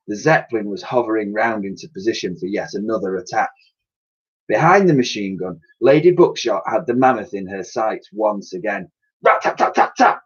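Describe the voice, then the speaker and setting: Very animated man reading a storybook aloud. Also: on the low side, breathy edge. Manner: dramatic, expressive storytelling with acted voices. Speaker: teacher reading a children's book aloud. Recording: dull, lo-fi sound.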